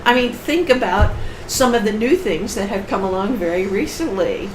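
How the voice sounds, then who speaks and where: A woman's voice: medium pitch, lively intonation, moderate pace. A woman author chatting on a panel podcast, talkative and explaining at length. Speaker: author guest. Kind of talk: casual panel chat. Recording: roomy with faint hiss.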